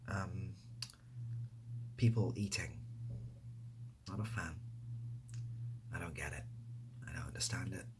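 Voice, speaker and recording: A man speaking softly in a casual ASMR style, breathy and often dropping to a whisper, rambling hesitantly with a self-deprecating manner. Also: deep voice, takes it slowly, long pauses, background noise. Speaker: ASMR video creator and host. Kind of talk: soft-spoken ASMR, casual question-and-answer to camera.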